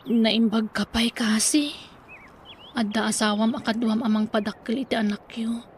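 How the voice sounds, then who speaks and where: A woman with an Ilocano accent playing a wistful, heartbroken young woman in a radio drama, hushed and sorrowful with a breathy voice. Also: a little high, steady tone, moderate pace, slight background noise, natural voice. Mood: sorrowful, envious. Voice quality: breathy, husky.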